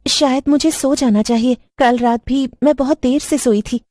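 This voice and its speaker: A woman playing a frightened, high-pitched young woman. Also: normal speed, mellow, no room sound, studio quality, lightly acted. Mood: uneasy, polite. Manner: stammering, repeating words in panic. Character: frightened young woman, anxious and pleading, in a ghost story. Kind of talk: horror cartoon story acting.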